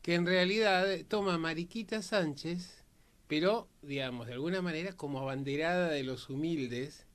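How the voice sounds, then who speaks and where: Higher-voiced Argentine man explaining. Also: moderate pace. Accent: Argentine Spanish. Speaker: panelist on a history and politics programme. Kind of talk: conversational anecdotal explanation.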